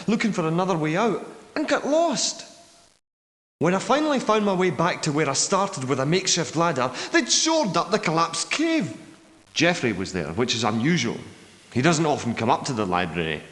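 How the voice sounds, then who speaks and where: An actor as a haunted veteran in echoing reverb. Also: male voice, medium pitch, natural voice. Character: weary war veteran trapped in caverns, haunted yet determined. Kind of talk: video game character dialogue. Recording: echoey reverberant cavern-like sound.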